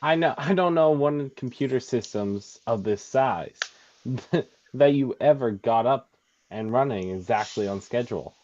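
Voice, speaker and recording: A man's voice: medium pitch, a little slow, a little mellow, close to an everyday voice. A man playing a sarcastic, aggrieved computer technician in a script table read, with a hesitant delivery. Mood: defensive. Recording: dull lo-fi mic with faint noise.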